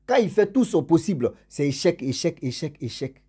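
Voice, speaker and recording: A man with a cold tells a story in a husky, slightly hoarse voice, mellow and dark in tone. He speaks casually to his followers and repeats words for emphasis. His stressed words come out loud and his endings drop away low. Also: very animated, normal speed. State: has a cold and a cough. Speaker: storyteller for his channel's subscribers.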